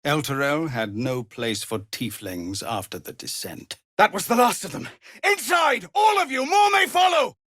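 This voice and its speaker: A middle-aged man playing a weary, dutiful tiefling commander of refugees in a video game, speaking formally and earnestly with measured authority. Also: high-pitched, very animated, a bit bright, dry recording, shouting, studio quality, heavily acted. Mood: urgent, commanding. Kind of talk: dramatic video game voice acting.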